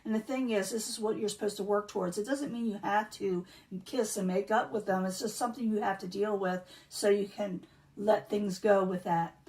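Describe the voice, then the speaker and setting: Hoarse woman reading tarot, a bit off-mic. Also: medium pitch, fairly even intonation, normal speed, darkish tone, far from the mic, low-quality recording. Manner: chatty, repeats herself, many um fillers. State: losing her voice, clearing throat. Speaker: tarot reader. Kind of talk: casual tarot card reading.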